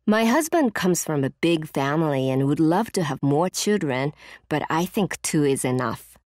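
A woman's voice: sits low, sing-song, moderate pace, darkish tone, no room sound, studio quality. A woman playing a busy, matter-of-fact mother in scripted small talk for a listening exercise.

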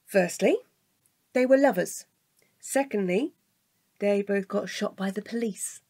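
An actress playing a level-headed, exasperated woman in a comic audio drama, speaking in a deadpan, matter-of-fact way. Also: medium pitch, unhurried, husky.

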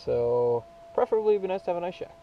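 Young male vlogger, relaxed and rambling, recorded on a muffled action camera with clear background noise. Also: high voice, fast. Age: young adult. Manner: laid-back, rambling, lots of "so" and "yeah". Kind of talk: casual vlog narration. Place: garage, outdoors in snow, inside a truck.

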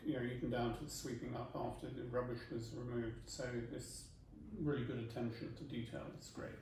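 A polite, measured man in his fifties speaks calmly as a client. The sound is roomy and distant, picked up by a camera across the table. A steady background hiss sits under his voice. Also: mid-range voice. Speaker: client giving feedback. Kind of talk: casual interview. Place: home dining room, indoors. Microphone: far camera. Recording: echoey room, far from mic, noisy.

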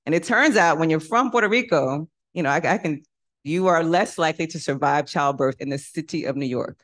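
A woman moderating a conference panel on stage, lively, putting rhetorical questions to the audience. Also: deep voice, brisk. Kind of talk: panel discussion.

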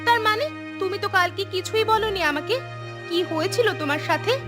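A woman's voice: very high-pitched, moderate pace, music in the background. A woman playing a scolding, worried village wife in a horror-comedy cartoon, nagging in an exasperated way.

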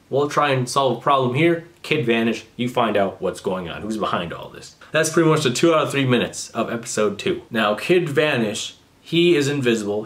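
A young man talks straight into his webcam from a small bedroom, his voice sitting at an ordinary mid pitch. He sounds sarcastic and mocking, and he swears freely as he runs through a casual commentary. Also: a bit bright. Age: young adult. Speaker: commentary youtuber.

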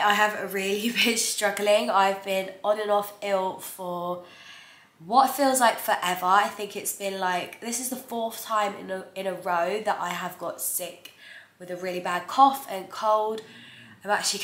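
A woman's voice: medium pitch, a bit bright, roomy sound. A woman in her twenties vlogging casually to camera in her living room, sounding blocked up with a cold and laughing lightly between phrases. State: congested with a cold. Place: bright living room, indoors.

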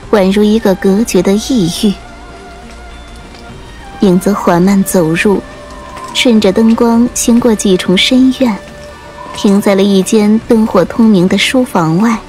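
A woman's voice: mid-range voice, a little mellow, rough recording. A woman narrating an audiobook novel in scripted, atmospheric storytelling. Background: rain and faint music.

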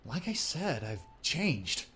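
A man's voice: fairly high pitch, very animated, slow pace, bright, forceful tone, faint music behind, heavily acted. A man playing a brash, defensive, irritable ex-boyfriend in an audiobook drama. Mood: defensive, pleading.

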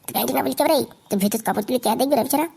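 A woman's voice: high-pitched, rapid-fire, gravelly, a little mellow, husky, exaggerated voice. A woman voicing a crude, blustering village husband in a comedy cartoon. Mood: angry. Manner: blustering, crude, wheedling turning abusive. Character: hot-tempered, crude, boozing Bengali village husband.

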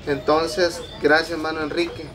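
A man's voice: fairly high pitch, flat delivery, moderate pace, a crowd in the background. A man in his thirties with a Guatemalan Spanish accent, hosting a casual interview on the patio of a home, friendly and full of fillers. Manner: friendly, talks with many fillers like "va" and "pues". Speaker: host of a charity video channel. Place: outdoor concrete-block patio of a home. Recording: distant camera sound, birds and ambience behind.

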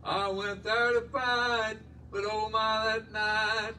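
A man in the congregation slowly reciting a gospel song's words in a small church. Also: sits high, level tone, pushed and bright. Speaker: congregation member reciting a song. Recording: distant, faint room noise.

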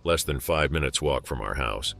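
A man calmly reading out a story. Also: extremely low pitch, moderate pace, creaky, faint music behind. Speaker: narrator. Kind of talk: scripted narration of a reader story.

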